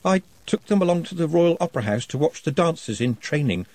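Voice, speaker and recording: A man's voice: fairly high pitch, sing-song, fairly quick, darkish tone, husky, no echo, voice pushed up. A man voicing a nervous, formal young scholar who speaks precisely and earnestly.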